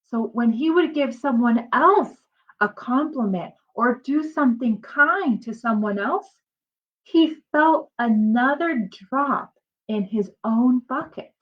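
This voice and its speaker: Woman, storybook read-aloud, teacherly, high voice, unhurried pace, expressive emphasis, explains to children, muffled lo-fi recording. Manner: animated, drawn-out emphasis, explains to children as she goes. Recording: dull lo-fi sound.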